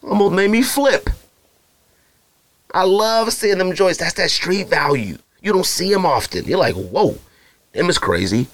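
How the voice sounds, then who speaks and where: A man's voice: high voice, expressive, unhurried, dry recording. A man from Arizona, hosting a podcast and talking casually in a slangy, rambling way, often mimicking other people. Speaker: podcast host. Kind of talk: casual solo podcast talk.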